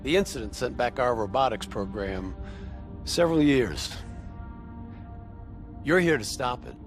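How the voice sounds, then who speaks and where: Game role: cocky military operative played by a male actor, mid-pitched, very animated and wry, briefing over music. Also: a little slow, lightly acted. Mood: briefing, emphatic. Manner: wry, profane, theatrical delivery. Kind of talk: game cutscene voice acting, expository briefing.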